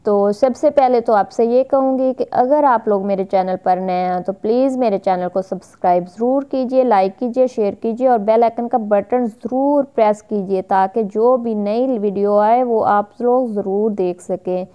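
A woman's voice: on the high side, moderate pace, hardly any pauses, clean tone, lo-fi sound. A woman hosting her own cooking vlog, narrating a recipe step by step in a chatty, repetitive way with fillers, recorded on a phone in a home kitchen. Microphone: phone camera filming the pot.

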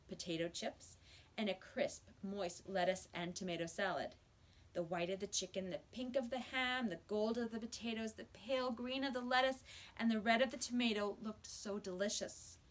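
Middle-aged woman, mid-range voice, measured and expressive storybook reader for young pupils. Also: mid-range voice. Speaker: teacher reading aloud. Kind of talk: children's storybook read-aloud. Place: small school office or library room, indoors.

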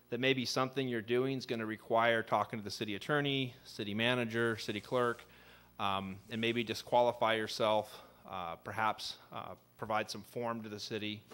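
Man giving an ethics training talk. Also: mid-range voice. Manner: conversational, lots of fillers; says he has a loud voice. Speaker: assistant city attorney. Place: city meeting room. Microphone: desk microphone on a stand.

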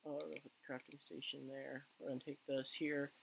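Hoarse guy rambling through a game, gravelly fry, lots of ums, faint hiss and mouse clicks behind. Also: medium pitch, level tone, brisk, a bit bright, rough recording. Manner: rambling with many fillers and restarts. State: hoarse, losing his voice. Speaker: gaming channel host. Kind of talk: casual let's play commentary.

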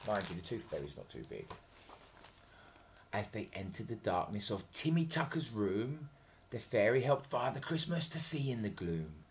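A man's voice: on the low side, big pitch swings, normal speed, slight background noise. A man in his thirties reading a children's picture book aloud expressively, with playful, chatty asides to explain the story. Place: home kitchen, indoors. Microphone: webcam at arm's length.

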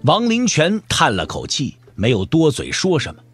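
A man's voice: a little high, huge pitch swings, normal speed, fairly bright tone, husky. A man narrating a dramatic scripted audio drama as storyteller, over music.

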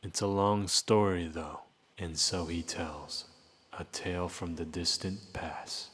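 A man acting out comic dub characters theatrically, moving between deadpan delivery and exclamation. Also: low voice, slow, husky.

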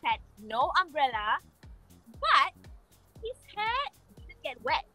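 A young woman, hosting a playful video-call interview in a bubbly, expressive way. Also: sits high, slow, faint music behind. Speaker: radio show host. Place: indoor studio room.

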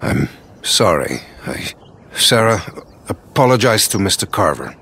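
A man's voice: low voice, sing-song, a little slow, vocal fry, sharp tone, slight background noise, exaggerated voice. An actor playing an anxious, submissive middle-aged father in a video game, hesitant and apologetic. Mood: cowed, hesitant. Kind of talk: dramatic video game voice acting.

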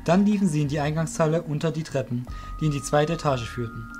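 A man's voice: fairly high pitch, quick pace, darkish tone, faint music behind. A man narrating a scripted audio drama as its storyteller.

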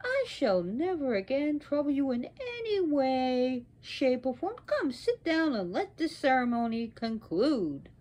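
Placating, self-assured male creator god of myth, done by a woman storyteller; higher-pitched, animated, coaxing. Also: slow pace, heavily acted. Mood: pleading, flattering. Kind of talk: oral mythological storytelling.

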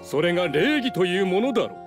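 An actor playing a haughty descendant of fallen aristocrats in a video game, speaking in a pompous, scornful, lordly manner. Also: male voice, high-pitched, sing-song, brisk, over music. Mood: indignant, haughty. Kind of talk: theatrical video game dialogue.

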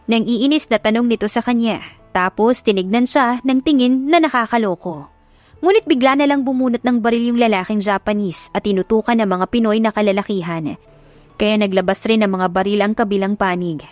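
A woman's voice: medium pitch, fairly quick, no room sound, faint music behind. A woman reading a dramatic scripted love story as an audiobook narrator.

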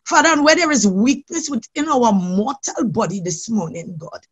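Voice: medium pitch, sing-song, moderate pace, fairly bright tone, breathy edge. A worship leader praying aloud in a fervent, impassioned, pleading way, calling on God again and again.

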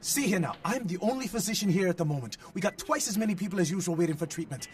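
A man's voice: high voice, expressive, fast, over loud music. An actor voicing a harried, officious male doctor in a video game, brusque, formal and authoritative. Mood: brusque, hurried. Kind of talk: video game character dialogue.

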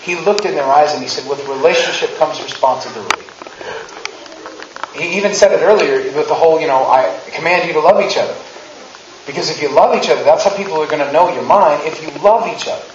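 A man's voice: fairly high pitch, fairly quick, background noise. A man in his thirties giving a casual, humorous sermon in a church sanctuary, acting out quotes with rising emphasis. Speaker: preacher. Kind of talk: conversational sermon. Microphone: handheld mic, far camera. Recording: roomy, distant, dull church recording.

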